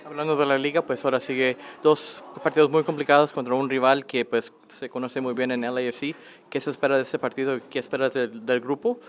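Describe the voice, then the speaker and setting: A man's voice: mid-range voice, level tone, fairly quick. A man working as a reporter, asking post-match questions piece by piece, with faint room noise.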